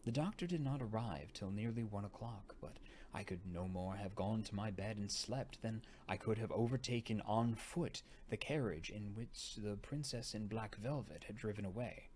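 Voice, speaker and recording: A man narrating a gothic novel as an audiobook reader, unhurried and dramatic, sometimes sinking into breathy near-whispers. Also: on the low side, darkish tone. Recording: noticeable background noise.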